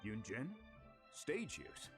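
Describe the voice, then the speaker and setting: Game role: flustered, good-natured smith, slow and hesitant, swinging intonation, prominent music behind. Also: male voice, fairly low pitch, huge pitch swings, exaggerated voice. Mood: befuddled, friendly. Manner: hesitant, bumbling, throat-clearing. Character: absent-minded, good-natured working blacksmith man, slow-witted, flustered. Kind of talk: video game character acting.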